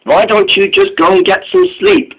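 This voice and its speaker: A sly storybook engine, playing it friendly while bluffing, his voice pitched up and lively, as a man puts him on. It sounds bright and breathy. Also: moderate pace, exaggerated voice, rough recording. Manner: hesitant then chummy, chuckling. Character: sly young male engine in disguise.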